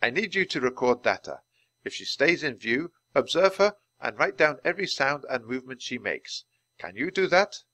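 A man voicing a serious adult panda keeper in a storybook reading, measured and deadpan. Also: mid-range voice, no room sound, pitched above the performer's own voice. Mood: calm.

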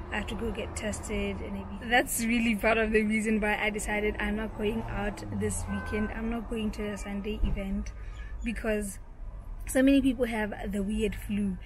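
A young woman from Botswana with a Botswana English accent, chatting excitedly to her camera in a casual vlog, often dropping to a half-whisper. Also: mid-range voice, noisy background. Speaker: vlogger. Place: small room indoors, later a parked car. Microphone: handheld camera at arm's length.

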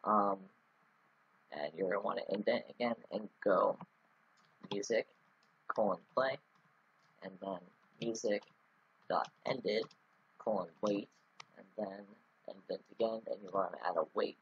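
A man's voice: on the low side, fairly even intonation, measured pace, pauses often, slightly rough, muffled recording. A man talking through a casual screen-recorded coding tutorial, rambling with many ums and restarts. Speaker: scripting tutorial host.

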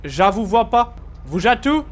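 Lively high-pitched man gaming. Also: unhurried, slight background noise. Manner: playful, teasing, slangy. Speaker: gamer, video creator. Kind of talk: casual live gameplay trolling chat.

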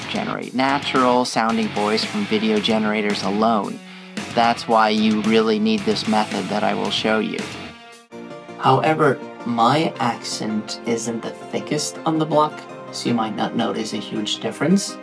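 A man's voice: medium pitch, creaky. A man in his thirties with a Norwegian accent, giving a playful, chatty talking-head tutorial with guitar music underneath. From Norway. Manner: playful, chatty, with asides to viewers. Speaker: tutorial creator. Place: indoor room with frosted glass behind.

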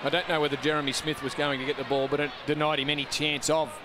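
A man giving calm, analytical expert commentary on a rugby league match from a stadium commentary box. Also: on the high side. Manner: measured, explains the play. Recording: broadcast with loud stadium noise.

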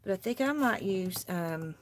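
A woman's voice: medium pitch, expressive, measured pace, a little hiss or hum. A woman with a Southern US accent, chatting casually with viewers during a live crafting session at her table. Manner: chatty, talks to viewers while working. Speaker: craft livestream host. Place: indoor craft table, overhead camera.

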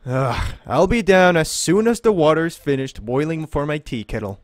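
A man's voice: on the high side, expressive, normal speed, no echo, studio quality, natural voice. A man playing an eccentric, theatrical, condescending oddball philosopher in an audio drama. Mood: disdainful.

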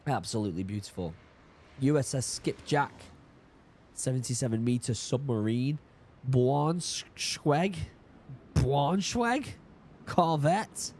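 A man in his thirties from the UK, a bit hoarse from being sick, chatting casually as he reacts to a video and reads labels aloud, excited now and then. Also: medium pitch, slow, pauses often, a little hiss or hum. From United Kingdom. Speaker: YouTube reaction host. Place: home study with bookshelves. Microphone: studio mic on boom arm, headphones.

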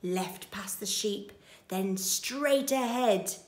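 A sneaky storybook crook, a man as played by a woman, cunning and conspiratorial. The words come hushed and slow, deliberately laid out in a roomy, echoing space. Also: medium pitch. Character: sneaky male cattle thief, cunning and hushed. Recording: roomy echo.

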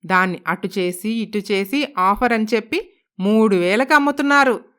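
A woman's voice: mid-range voice, normal speed, fairly bright tone, smooth, close to an everyday voice. A woman voicing a stingy, stubborn middle-aged mother-in-law in a cartoon moral story, blunt and scolding in manner. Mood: scolding, dismissive. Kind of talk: Telugu animated moral story voice acting.

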